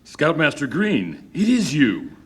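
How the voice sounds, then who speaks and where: A man's voice: a little high, wildly swooping pitch, slow, fairly bright tone, breathy edge, full character voice. A man playing a grandiose, scheming visionary doctor in a comic radio drama, with a grand theatrical delivery. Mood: ominous, pleased.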